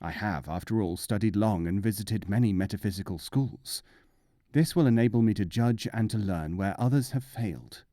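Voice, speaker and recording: A man's voice: on the low side, normal speed, warm tone, no echo, clean studio sound, natural voice. A man voicing an earnest, self-important scholar seeking wisdom in a storybook, pompous and judging.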